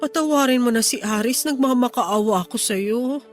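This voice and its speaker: A woman's voice: on the high side, moderate pace, lightly acted. A Filipino woman playing a desperate wife and mother pleading earnestly with her sister-in-law in a radio drama. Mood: anxious pleading. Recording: music under the dialogue.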